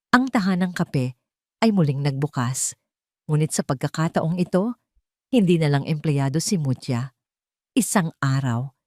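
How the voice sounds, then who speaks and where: A Filipino woman reads a dramatic audio story in a fairly low voice. Her phrasing is measured and emotive, with deliberate pauses. The sound is dry and close, with very little room. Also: darkish tone, no room sound. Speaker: storyteller, narrator.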